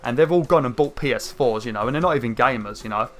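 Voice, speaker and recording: A young man with a croaky throat, rambling through casual gameplay commentary with lots of fillers. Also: medium pitch, fast. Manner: rambling, chatty, many fillers, excited outbursts. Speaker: gaming channel host. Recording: faint game music behind. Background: game music.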